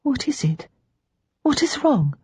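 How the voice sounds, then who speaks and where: A woman narrator voicing Rachel, a kind, gentle elder sister who speaks softly and steadily. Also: medium pitch, normal speed, husky, no echo, pitched above the performer's own voice. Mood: soothing. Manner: soft, soothing, steady.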